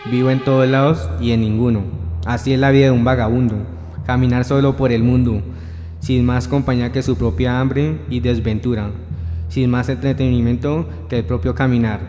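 Devilish vagabond tempter , male actor, radio play. Grandiose, persuasive, monotone delivery, with music underneath. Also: mid-range voice, normal speed, natural voice. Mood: mysterious, wry. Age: young adult. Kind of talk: student radio drama reading. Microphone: shared handheld microphone. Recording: echoey hall-like room, music behind.

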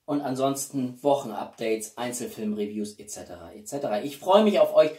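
A man in his forties chatting casually to viewers as a video host, with an expressive, emphatic delivery and dramatic pauses. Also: mid-range voice. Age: 40s. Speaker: YouTube host. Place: small living room indoors. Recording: roomy echo, distant mic.

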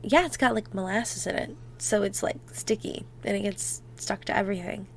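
A woman playing an affectionate, playful girlfriend in an ASMR roleplay, talking softly and intimately, sometimes close to a whisper. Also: mid-range voice, gravelly, a little hiss or hum, lightly acted. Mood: cheerful, relaxed. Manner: soft, affectionate, unhurried, dropping into near-whispers.

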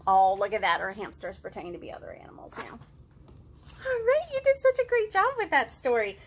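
A woman in her thirties with a high voice reads and chats to young children at storytime. She is expressive and encouraging, keeping her phrasing simple for little listeners. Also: a little fast, slight background noise. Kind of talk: animated children's storytime, reading aloud and chatting to young children. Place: living room at home.

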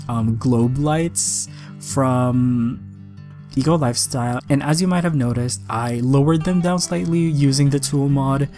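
A young man giving casual, chatty and enthusiastic voice-over commentary for a game video, with a slightly raspy voice. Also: medium pitch, darkish tone. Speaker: gaming video creator. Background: guitar music.

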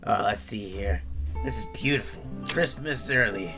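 A man's voice: on the low side, normal speed, gravelly, music in the background. A man voicing an irate, sweary crime boss who whines and protests in an improvised comic game dub. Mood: gloating. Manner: exclamatory, sweary, whiny protesting. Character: irate male crime boss obsessed with his Christmas gathering.

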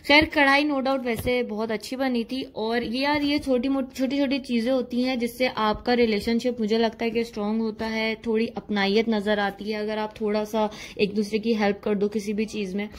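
A woman's voice: fairly high pitch, flat delivery, normal speed, hardly any pauses. A woman from Pakistan vlogging in a teasing, chatty way, filming on her phone at home and in a car. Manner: teasing, chatty, laughs often. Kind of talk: casual chat and vlog narration. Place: home kitchen, then a car at night.

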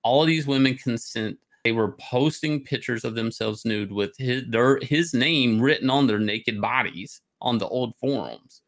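A man's voice: medium pitch, measured pace, few pauses. Man ranting casually on a livestream. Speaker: stream host. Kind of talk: casual opinionated rant on a livestream. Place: indoor room, livestream on webcam.

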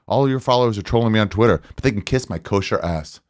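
A man in his forties with a fairly low voice, rattling through comedic material. He sounds sarcastic and exasperated, recorded dry and close on a studio microphone. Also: fast talker, no echo. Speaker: guest comedian on a podcast. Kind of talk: casual comedic monologue read aloud. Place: small podcast studio, indoors. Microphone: close studio mic with headset.

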